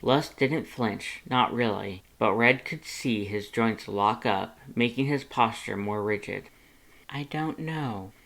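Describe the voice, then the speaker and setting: An audiobook narrator with a medium-pitched voice reads fan fiction. The delivery is expressive, scripted storytelling. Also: a little slow, creaky, few pauses. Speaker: fanfiction audiobook narrator and channel host.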